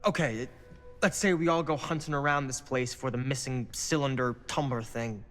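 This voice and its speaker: A man's voice: medium pitch, steady tone, normal speed, a bit bright, close to an everyday voice. A man playing a casual, doubtful young guy in a video game, wry and offhand. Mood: doubtful, grumbling. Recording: long hall reverb, faint music.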